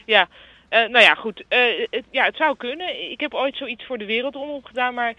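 Very high, bright-voiced columnist on the phone. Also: male voice, animated, a little fast, no echo, heavily acted. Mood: polite, puzzled. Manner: hesitant, awkwardly polite. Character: polite, increasingly baffled columnist on the telephone. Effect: telephone filter. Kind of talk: radio comedy acting. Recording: dull, narrow phone-line sound.

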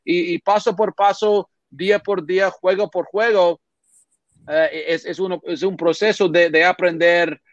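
A man, a native English speaker, talking as a podcast guest in a second language, slow to find his words with many restarts and fillers. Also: sits high, steady tone, no room sound. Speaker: podcast guest, baseball reporter. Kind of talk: casual interview talk in a second language. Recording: dull, dry remote audio.

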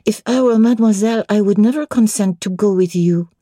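A woman's voice: mid-range voice, fairly quick, mellow, no echo, studio quality, pitched above the performer's own voice, natural voice. A woman voicing a pert, practical little girl, questioning and arguing in a dramatic audiobook reading. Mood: pert objecting. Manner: pert, matter-of-fact, questioning.

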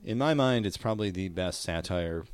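A man's voice: sits low, animated, normal speed, smooth, no echo. A man co-hosting a podcast in a casual, comedic, rambling and wry way. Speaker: podcast co-host.

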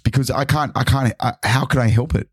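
A hoarse British man with a sore throat, chatting and teasing as a guest on a podcast, with many restarts. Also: medium pitch, a little fast, no echo, clean studio sound. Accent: British English. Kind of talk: casual chat.

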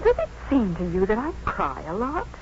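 Earnest loyal wife, emotional, very expressive pitch, old muffled radio drama. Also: female voice, normal speed. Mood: fragile, emotional. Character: devoted, emotional, resolute doctor's wife. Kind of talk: 1950s radio drama acting. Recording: muffled, noisy 1950s radio recording.

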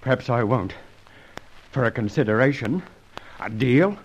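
An actor playing a crooked, blustering shopwalker and blackmailer in a radio drama, with a sneering manner. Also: male voice, mid-range voice, expressive, unhurried, dull audio, a little hiss or hum. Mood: wheedling, defiant. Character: crooked male shopwalker blackmailer, indignant, slyly bargaining. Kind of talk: old radio drama acting.

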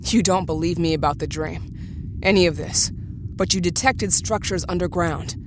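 A woman's voice: lowish voice, normal speed, background noise, natural voice. A woman playing a defiant, suspicious space mission commander in a science-fiction audio drama, terse and insistent.

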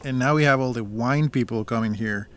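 An actor playing a proud, plainspoken farmer with a teasing streak in a naturalistic audio drama. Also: male voice, mid-range voice, brisk, natural voice. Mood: reflective, earnest.

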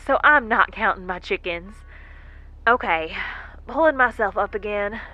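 A plucky, wry woman explorer stranded in space, with a bright, forward voice at a middling pitch. She mutters folksy asides in a sci-fi audio drama, and the sound is slightly dull. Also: expressive, measured pace. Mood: wry, weary.

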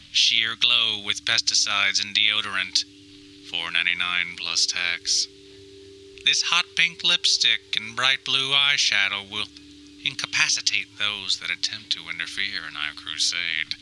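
A man's voice: fairly low pitch, lively intonation, unhurried, bright, forceful tone, faint music behind, heavily acted, low-quality recording. A man voicing a possessed mother and religious zealot in an audio drama, theatrically preaching doom with whispers and shrieks. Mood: fanatical, menacing. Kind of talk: dramatic audio diary monologue.

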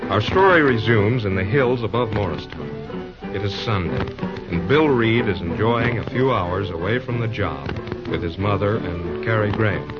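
Guy narrating an old radio serial, lowish voice, dull old recording with music under him. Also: moderate pace.

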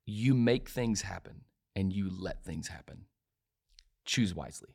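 This is a man of forty with a fairly low voice. He talks in an emphatic, conversational way and repeats himself for weight. He often stumbles into restarts before landing his point. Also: unhurried, vocal fry, a little mellow, breathy edge. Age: 40s. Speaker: podcast guest. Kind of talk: casual conversational interview.

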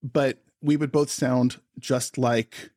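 A man's voice: medium pitch, steady tone, takes it slowly, darkish tone, dry recording, studio quality. A man hosting a comedy podcast, playful and teasing in casual chat. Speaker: podcast host.

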